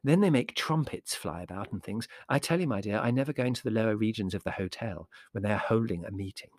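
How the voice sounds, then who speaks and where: A man's voice: lowish voice, lively intonation, quick pace, a little mellow, no room sound. A man voicing a nervous, gossipy middle-aged woman in a storybook, earnest, confiding and emphatic, easily exasperated. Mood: earnest alarm.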